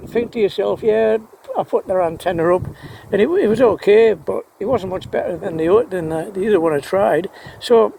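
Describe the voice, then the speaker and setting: A man's voice: sits high, creaky, a little mellow, a little hiss or hum, low-quality recording. A man rambling casually and enthusiastically about his hobby, often repeating words as he hesitates. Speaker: amateur radio hobbyist vlogger.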